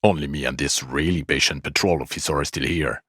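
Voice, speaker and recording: A male actor playing a bitter, exasperated, foul-mouthed police detective in a video game, scolding with weary sarcasm and contempt in a gravelly voice. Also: very deep voice, steady tone, fairly quick, fairly bright tone, dry recording, clean studio sound, full character voice. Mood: bitter, sardonic. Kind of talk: video game character dialogue.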